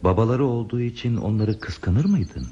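An actor playing a gentle, attentive man in a radio play, speaking politely and patiently as he reassures and probes. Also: mid-range voice, lively intonation, normal speed, soft background music, lightly acted. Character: gentle, attentive male confidant, courteous and patient. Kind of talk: Turkish radio theatre acting. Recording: muffled lo-fi radio drama, faint noise.

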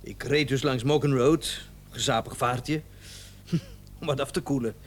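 A man's voice: mid-range voice, steady tone, measured pace, slight background noise, lightly acted. An actor playing a flustered, indignant and evasive male doctor in a radio drama, stammering and repeating himself when agitated. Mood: placating, recounting.

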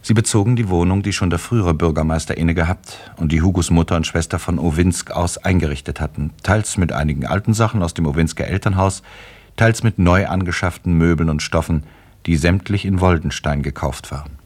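A man's voice: sits low, brisk, a little mellow, breathy edge. A man reading scripted literary narration as the narrator of a radio play.

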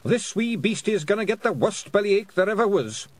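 Storybook pixie chieftain: Scottish, bold, blustering, pitched high with big swoops. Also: male voice, animated, moderate pace. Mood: bold, game. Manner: boisterous, blustering, dialect-heavy speech. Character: small, bold, belligerent Scottish pixie warrior leader.

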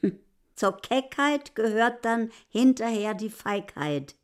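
An actress playing a haughty, easily offended innkeeper in a radio play, speaking imperiously and sharply. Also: female voice, a little high, expressive, slow pace. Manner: imperious, indignant, sharp-tongued. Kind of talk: literary radio play acting.

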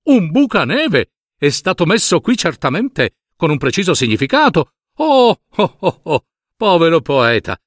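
Storybook role: man playing an amazed, compassionate male poetry reader, exclamatory and lamenting, very animated pitch. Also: measured pace, dry recording, studio quality. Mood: pitying. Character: surprised, pitying man reading an old poetry book.

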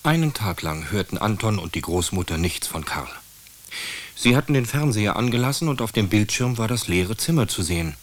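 A man's voice: on the low side, expressive, a little fast. A man narrating a scripted children's story in a radio drama as an observant storyteller.

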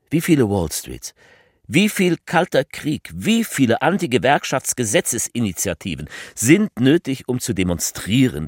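An actor playing a grave, fervent political orator, delivering a rhetorical, slightly absurd theatrical speech with deliberate phrasing and emphatic repetition. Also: male voice, mid-range voice, dry recording, studio quality, full character voice. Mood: fervent, defiant.

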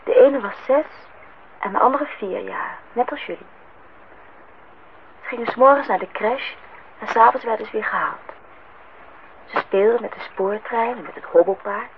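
An actress playing a hesitant woman who plays along as wife and mother in a Dutch radio play, tentative yet expressive. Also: on the high side, moderate pace, pauses often, natural voice. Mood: tender storytelling. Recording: dull old radio recording, faint noise.

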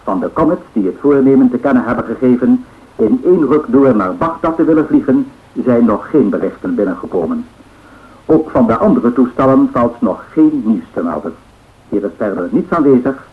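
A man's voice: medium pitch, animated, moderate pace. A man playing a formal, official 1930s press bureau newsreader through an old radio filter. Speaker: news announcer in a radio play. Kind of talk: 1930s radio news bulletin acting. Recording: thin, phone-like old radio sound.

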